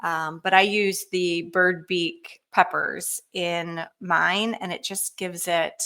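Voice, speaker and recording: A woman hosting a live canning Q&A, chatty and enthusiastic, with frequent ums and a slightly hoarse voice. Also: lowish voice, fairly even intonation, slow, sharp tone. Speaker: canning cookbook author hosting a live show. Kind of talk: casual live Q&A cooking demo.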